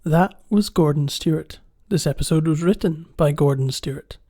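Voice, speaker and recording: A man's voice: on the high side, moderate pace, warm tone, slightly rough. A man reading scripted end credits for a podcast as its producer.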